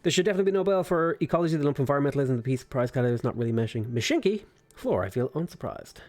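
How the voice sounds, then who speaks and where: A man's voice: mid-range voice, expressive, rapid-fire, a little mellow. A man in his twenties on a game livestream, casually chatting and joking while he reads viewer comments aloud. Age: 20s. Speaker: streamer.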